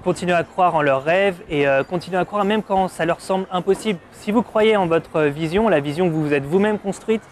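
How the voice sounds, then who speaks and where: A man in his twenties from Paris giving a casual testimonial, reflective and pausing to search for words. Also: high-pitched, a little hiss or hum. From Paris, France. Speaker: advertising art director being interviewed.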